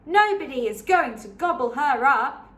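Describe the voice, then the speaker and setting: A woman's voice: high voice, animated, a little slow, pushed and bright, roomy sound, exaggerated voice. Woman doing a brave young knight. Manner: bold and eager.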